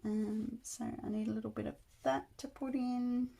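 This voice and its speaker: A woman getting over a cold, her voice still croaky, chatting casually as she works on a journal at her craft table. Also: fairly high pitch, level tone, normal speed, warm tone. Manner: chatty, thinking aloud while working. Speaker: crafter demonstrating journal making.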